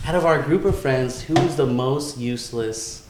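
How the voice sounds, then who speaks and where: A man's voice: mid-range voice, normal speed, background noise. Guy hosting a podcast with his buddy, rambles a bit, swears a lot, laughs easy, middling voice. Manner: rambling, profane, laughing easily. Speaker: podcast host. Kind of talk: casual chat between friends, asking questions.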